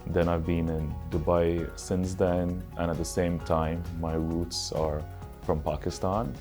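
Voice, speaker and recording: Deep-voiced young man, thoughtful answers. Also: level tone, darkish tone, soft background music. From Switzerland. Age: late 20s. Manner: thoughtful, measured, with fillers. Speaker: interview guest. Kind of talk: casual interview answers.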